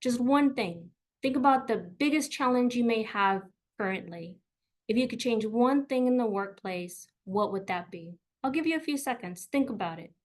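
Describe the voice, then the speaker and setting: A woman's voice: fairly high pitch, expressive, moderate pace. A middle-aged woman from South Texas whose first language is Spanish, presenting a career webinar in a personal, encouraging way, often with fillers and repeated words. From South Texas, USA.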